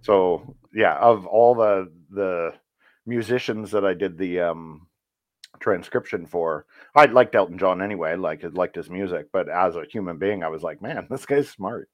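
A man who works as a voice actor, talking casually in an interview and telling stories in a relaxed, rambling way with fillers. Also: low voice, normal speed, no echo, muffled recording. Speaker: voice actor guest.

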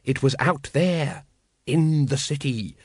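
A man's voice: medium pitch, darkish tone, breathy edge, no room sound, studio quality. A man voicing a pompous, scheming cult leader in an audiobook, commanding and impatient, stretching words for emphasis. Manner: commanding, hissing, drawn-out emphasis. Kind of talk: audiobook character acting.

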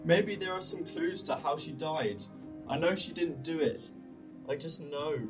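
A man's voice: a little high, a little slow, close to an everyday voice. Man playing grieving, headstrong young British man, music behind. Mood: insistent. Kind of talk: radio drama dialogue. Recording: distant voice under prominent music.

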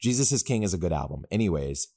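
Young dude on a podcast, low mellow voice, rambly and jokey. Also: male voice, fast. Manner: rambling, jokey, animated asides. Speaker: podcast co-host. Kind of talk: casual podcast chat.

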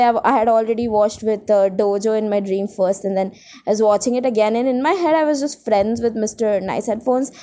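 A woman's voice: fairly high pitch, a little mellow. A young adult woman with an Indian accent, co-hosting a podcast in a casual, rambling way, with a self-mocking, dramatic, irreverent manner. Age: young adult, college student. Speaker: podcast co-host.

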